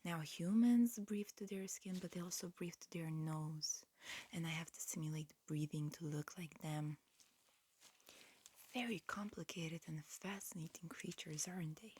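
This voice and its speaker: A woman softly whispering an ASMR roleplay, explaining slowly and carefully as a calm, attentive examiner. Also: on the low side, husky. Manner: soft, hushed whispering with slow, careful explanations. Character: calm, attentive alien examiner disguised as a human. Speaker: ASMR roleplay performer playing an alien examiner. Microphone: close ASMR microphone.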